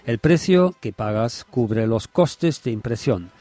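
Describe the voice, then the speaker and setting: A man's voice: mid-range voice, lively intonation, takes it slowly, warm tone, no room sound. A man presenting, signing off and plugging a book in an announcer's role. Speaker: radio presenter. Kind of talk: presenter's sign-off and book plug.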